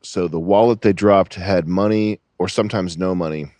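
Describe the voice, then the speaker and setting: A man co-hosting a casual comedy podcast in a deadpan manner. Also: low-pitched, normal speed, no echo. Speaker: podcast co-host.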